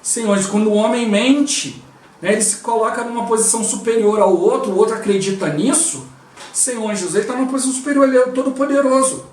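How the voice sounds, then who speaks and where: High-voiced Brazilian man preaching in a roomy space. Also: fast. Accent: Brazilian Portuguese. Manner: conversational, constantly interjects "O Lord Jesus" and "né". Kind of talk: devotional preaching. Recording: roomy and distant from the mic.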